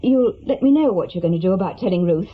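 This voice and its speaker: A middle-aged estranged wife, practical and reproachful. Her very breathy, husky voice moves expressively through terse, pointed remarks. The radio play sound is slightly dull. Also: fairly low pitch, expressive, quick pace. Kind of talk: naturalistic radio drama acting. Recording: dull, lo-fi radio drama recording.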